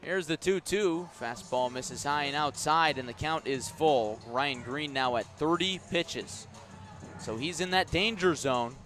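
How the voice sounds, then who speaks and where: A man doing live baseball play-by-play as a radio announcer, giving measured, detailed commentary that rises on each pitch. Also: a bit bright, soft background music.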